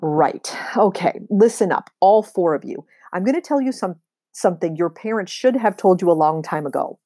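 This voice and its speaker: A woman voicing an exasperated, wry female schoolteacher from a storybook, firm and measured as she lectures. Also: fairly low pitch, lively intonation, warm tone, no echo, clean studio sound. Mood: stern.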